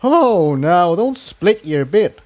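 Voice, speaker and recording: Man putting on a folksy old stallion, pitched up. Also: sing-song, takes it slowly, a little mellow, dry recording, exaggerated voice. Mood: placating, friendly. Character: elderly male earth pony, rustic, plainspoken, folksy townsman.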